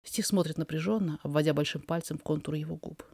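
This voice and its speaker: A narrator reading a fiction story aloud in an expressive, dramatized way. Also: mid-range voice, fast, husky, no echo, clean studio sound. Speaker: podfic reader, narrator.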